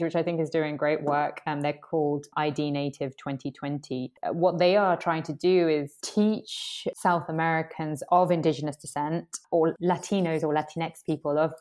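A woman's voice: low-pitched, a little mellow. A woman with a well-spoken British accent, talking reflectively and emphatically in an articulate, conversational discussion. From United Kingdom.

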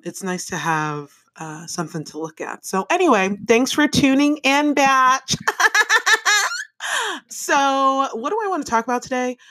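A woman with a deep, raspy voice hosting her own podcast, talking casually, laughing often and swearing freely. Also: a bit bright. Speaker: podcast host. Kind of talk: casual solo podcast monologue. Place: home bedroom. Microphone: microphone on a stand, held in hand.

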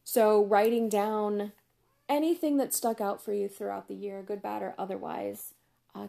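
A woman hosting a casual solo podcast, chatty and upbeat with frequent laughs, her voice going hoarse. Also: mid-range voice, dark tone. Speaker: podcast host.

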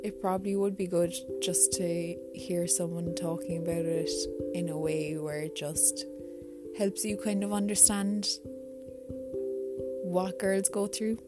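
A woman's voice: lowish voice, steady tone, unhurried, over loud music. A young Irish woman hosting her own podcast, chatting casually, rambling, swearing freely and talking to herself. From Ireland. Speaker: podcast host. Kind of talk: casual solo podcast chat.

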